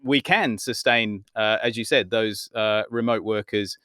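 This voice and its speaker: Smooth-voiced podcast host. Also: male voice, fairly low pitch, measured pace, a bit bright, no room sound, clean studio sound. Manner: rambling, repeats words while framing questions. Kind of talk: interview questions. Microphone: video podcast mic.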